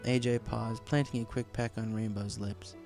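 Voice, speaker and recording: A man's voice: on the low side, level tone, moderate pace. A man reading a scripted story as its narrator. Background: background music.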